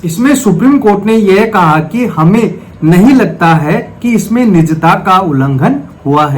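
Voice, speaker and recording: A man's voice: high-pitched, slight background noise. A man giving a formal, methodical explanatory legal lecture, restating key points as he goes. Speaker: legal explainer, video host.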